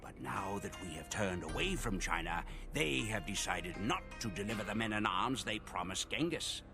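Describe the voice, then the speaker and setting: A man playing a proud, ruthless Mongol warrior, gravely narrating his horde's conquests as a scripted game voice-over. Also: lowish voice, lively intonation, moderate pace. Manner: grave, storytelling, relishing conquest. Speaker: campaign narrator. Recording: game cutscene voice over music. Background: music.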